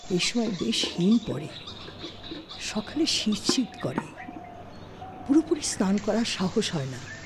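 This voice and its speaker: Give me a woman story narrator with a very animated, varied pitch, reading literary prose, with faint noise and birdsong behind her. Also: a little slow, pauses often. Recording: studio, faint background noise.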